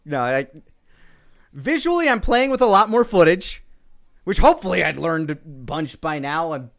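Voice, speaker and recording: A man comments casually on his own work with a lively, swinging pitch. He rambles and picks at his own flaws in an offhand way. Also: moderate pace, creaky. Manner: self-critical, rambling.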